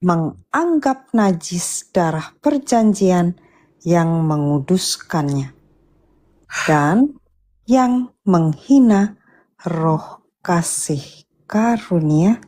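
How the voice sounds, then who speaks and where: A woman reading Bible verses aloud deliberately, one phrase at a time. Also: fairly low pitch, lively intonation, warm tone. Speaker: scripture reader assisting the preacher.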